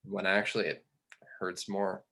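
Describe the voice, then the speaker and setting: A man's voice: low-pitched, completely flat delivery, unhurried, creaky, sharp tone, heavily acted. A man acting a brooding, embittered, drily probing character in a read-through of a film script. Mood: dry, probing. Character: brooding, embittered man who lost faith in God.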